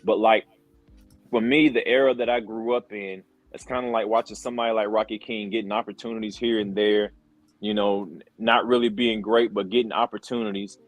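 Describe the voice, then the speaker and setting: Relaxed middle-aged man telling stories. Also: on the low side, a little fast, dry recording. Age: 40s. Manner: relaxed, storytelling, repeats words and fillers. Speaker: podcast guest. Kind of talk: casual chat.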